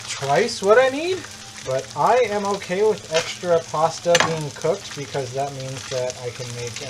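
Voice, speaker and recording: Casual male stream host, mid-pitched, chatty and rambling, with frying and sizzling clearly heard behind him. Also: heavy background noise. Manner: chatty, rambling, joking asides. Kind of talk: casual live cooking demo. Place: home kitchen.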